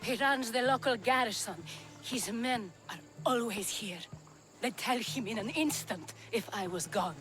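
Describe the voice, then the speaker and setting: An actress playing a worldly, flirtatious courtesan in a video game cutscene, with a languid, wry manner. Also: female voice, on the high side, measured pace, a bit bright, faint music behind. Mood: confiding.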